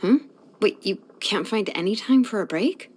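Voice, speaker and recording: An actress playing a sleepy, laid-back young woman blacksmith in a fantasy video game, speaking in a casual, unhurried way. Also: mid-range voice, breathy edge, natural voice. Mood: gently advising. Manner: unhurried, casual, hesitant drawl with sighs. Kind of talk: English video game voice acting.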